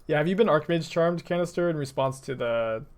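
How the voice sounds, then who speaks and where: A man's voice: fairly high pitch, a little fast. A young man from Texas with a mild urban Texan accent, thinking aloud in dry, sarcastic casual commentary as he plays a card game for viewers. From Texas, USA. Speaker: streamer playing a card game. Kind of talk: casual commentary over gameplay, chatting with viewers.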